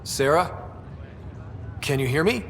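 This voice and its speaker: Young wry space explorer in a game, lots of up and down, echoey with some hiss. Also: male voice, a little high, animated, slow pace. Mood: gentle, grave. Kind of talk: video game voice acting. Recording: reverberant, faint background noise.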